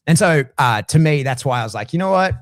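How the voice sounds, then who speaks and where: A middle-aged Australian man talking casually as a guest, blunt and swearing freely, with lively swings in his voice. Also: on the high side, fast talker, no room sound, studio quality. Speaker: guest dog trainer. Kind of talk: casual conversation.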